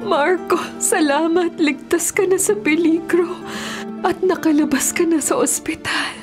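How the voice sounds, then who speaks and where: An actress playing a gentle, forgiving wife in a Filipino radio drama, tender and earnest. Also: female voice, very high voice, unhurried, music in the background. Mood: tender relief.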